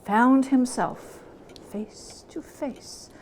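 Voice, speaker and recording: Woman reading a story slowly and dramatically. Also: sits high, slight background noise. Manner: theatrical, lingering on words, dropping into hushed near-whispers. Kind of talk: dramatic reading of literary narration.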